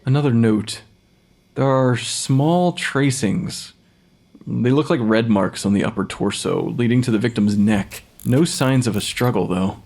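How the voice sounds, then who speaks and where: A man's voice: medium pitch, unhurried, gravelly, a little mellow. An actor playing a blunt young male pathologist in an audio drama, speaking in a matter-of-fact, clinical way. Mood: clinical, detached. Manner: clinical, matter-of-fact, dictating notes formally. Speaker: actor in fiction podcast.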